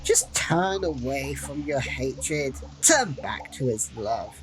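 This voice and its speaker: An actress playing a defiant, wise captive holy woman in a fantasy audio drama, measured and gently reproving. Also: a bass voice, lively intonation, crowd noise, heavily acted. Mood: solemn, pleading. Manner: measured, sighing, pleading, wryly defiant. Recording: clear noisy ambience behind the voice.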